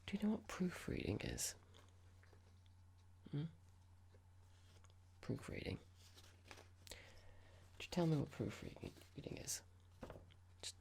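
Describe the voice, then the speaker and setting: A performer voicing a horrible, condescending office boss in a whispered ASMR roleplay, mock-polite and slow, asking pointed, patronising questions. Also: mid-range voice, vocal fry, darkish tone, a little hiss or hum, exaggerated voice.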